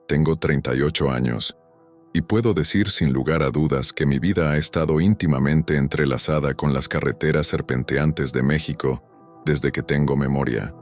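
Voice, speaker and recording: A man narrating first-person horror stories in a steady, measured storytelling manner. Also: rumbling low.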